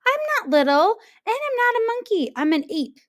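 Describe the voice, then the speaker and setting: A woman voicing an excitable, grumpy young male ape in a children's storybook, exclaiming and whining indignantly. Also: very high voice, normal speed, pitched above the performer's own voice. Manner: exclaiming, whiny and indignant when crossed. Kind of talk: children's storybook read-aloud.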